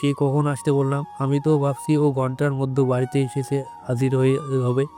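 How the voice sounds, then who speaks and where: A worried young man explains himself in an audio drama, acted by a man. He, a soft dark tone and little rise or fall, with music underneath. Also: mid-range voice, completely flat delivery, moderate pace, lightly acted. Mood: worried, explaining. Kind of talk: audio story character dialogue.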